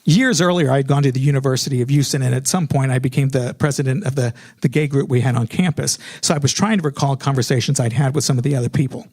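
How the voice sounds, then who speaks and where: A man's voice: medium pitch, fast, few pauses, a little mellow. A middle-aged American man telling a personal story in a comedic, self-mocking way, animatedly acting out remembered dialogue.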